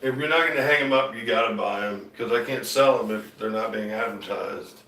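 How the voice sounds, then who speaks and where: A man's voice: lowish voice, a little fast, pushed and bright, far from the mic. Rambling man in an echoey room. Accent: light Southern. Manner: loose, chatty, trails off and restarts, drawls his y'alls. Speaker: musician and painter streaming a show. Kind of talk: rambling casual chat to viewers. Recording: echoey room, voice a bit off-mic, guitar music.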